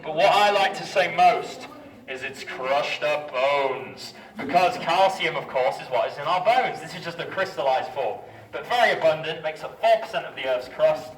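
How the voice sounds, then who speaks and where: Echoey male tour guide, higher voice. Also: lively intonation, normal speed. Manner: informal, wry asides. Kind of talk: jokey guided tour talk to a group. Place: inside an underground cavern. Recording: roomy cave echo, distant, group noise. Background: crowd of tour visitors.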